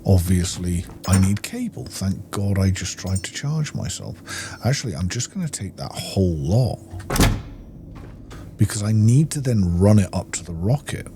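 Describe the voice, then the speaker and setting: A man's voice: low-pitched, lively intonation, normal speed, background noise. A man giving casual gameplay commentary, muttering to himself as he plays. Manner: muttering to himself while playing. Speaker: gamer, video creator.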